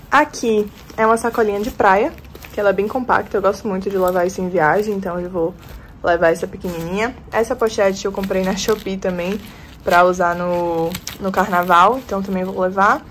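Young woman, lifestyle vlogger, chatty step-by-step walk-through, phone mic in a small bedroom with faint room noise. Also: medium pitch. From Bahia, Brazil. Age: 20s. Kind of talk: casual vlog chat. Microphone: phone camera at arm's length.

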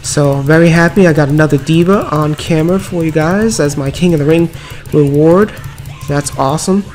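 A man with a slightly raspy voice from a cold, giving upbeat, rambling commentary over mobile card game play. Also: fairly high pitch, normal speed, darkish tone. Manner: upbeat, filler-heavy running commentary. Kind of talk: casual gameplay commentary. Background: background music.

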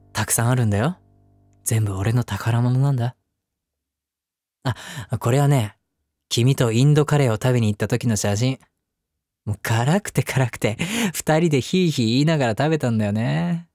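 A man's voice: medium pitch, moderate pace, long pauses, dry recording, clean studio sound, close to an everyday voice. Tender young husband, acted by a man, over music. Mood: fond, reminiscing. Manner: gentle, affectionate, softly chuckling between phrases. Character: devoted, tender young husband comforting his forgetful wife. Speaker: voice actor. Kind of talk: intimate scripted audio drama spoken to the listener. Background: background music.

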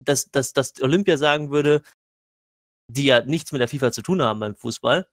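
A man's voice: medium pitch, normal speed, dry recording. A man in a casual gaming debate, arguing insistently and often stumbling over repeated words. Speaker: podcast panelist.

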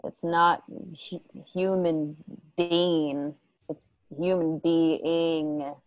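A woman's voice: low-pitched, little pitch movement, very slow, no echo, dull audio. An actress playing a resolute, vengeful woman rider in a Western radio drama, speaking tersely in rustic phrasing. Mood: firm, angry. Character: vengeful, resolute young gunslinging woman rider named Mia. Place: home, remote video call.

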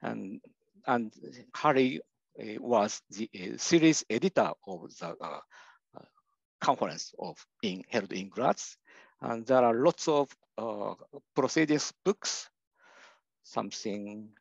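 Older male lecturer, Japanese accent, gravelly, halting delivery full of fillers; dry lo-fi sound. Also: mid-range voice, expressive, no room sound. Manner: hesitant, many "uh" fillers and restarts. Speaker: university professor giving a lecture. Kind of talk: slide lecture. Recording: dull lo-fi dry recording.

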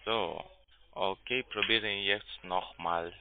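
A man with a foreign accent, a call centre agent speaking halting, broken sentences and repeating himself. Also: lowish voice, steady tone. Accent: foreign accent in German. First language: not German. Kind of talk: phone conversation. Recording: dry narrow phone line.

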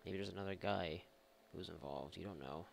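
Male let's-player, lowish gravelly voice, sarcastic, mock-exasperated, sweary casual commentary. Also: brisk. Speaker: video game let's-player. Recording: faint game noise behind voice.

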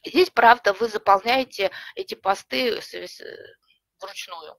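A middle-aged woman presenting an online webinar from home, explaining a tool step by step in a friendly way with frequent pauses and fillers, a slight cough lingering. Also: medium pitch, creaky, no room sound. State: recovering from a cough. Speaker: webinar presenter, librarian and teacher. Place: home room with bookshelves. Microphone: webcam video call.

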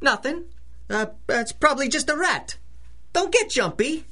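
A man's voice: extremely high pitch, animated, a little slow, sharp tone, slight background noise, exaggerated voice. An actor playing a cocky, jumpy small-time robber in a 1930s-style radio drama, talking in tough-guy slang. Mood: nervous bluster.

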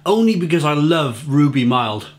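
A man's voice: fairly high pitch, sing-song, moderate pace. Chatty man reviewing beer at home. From England. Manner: chatty, repeats words while hunting for them. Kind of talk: casual beer review to camera. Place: home kitchen, indoors.

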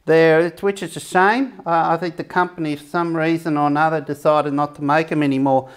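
A man's voice: fairly high pitch, fairly even intonation, a little fast, clean tone. A man giving a casual, rambling cooking demonstration for his camping review channel, often restarting his sentences. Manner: chatty, rambling, self-correcting.

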